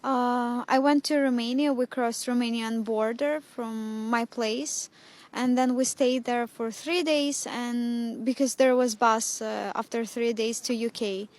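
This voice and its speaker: Woman, 20s, slightly high voice, hesitant english, outdoors. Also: steady tone, measured pace, darkish tone. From Ukraine. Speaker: refugee interviewee.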